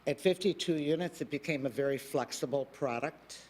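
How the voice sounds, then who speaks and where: A man speaking at a public planning meeting as a developer, halting, with many fillers and restarts. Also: a little high, a little mellow, a little hiss or hum.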